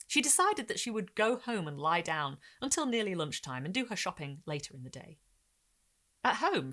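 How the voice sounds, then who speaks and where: Female story narrator: lowish pitch, very animated, careful dramatic phrasing for gothic tales. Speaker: narrator and host.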